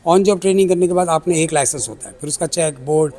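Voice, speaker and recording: A Pakistani-accented man with a high-pitched voice gives explanatory interview answers as an expert. He lays things out step by step, dropping English technical terms into his sentences. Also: a little fast, darkish tone. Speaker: air traffic control chief, aviation expert. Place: air traffic control centre.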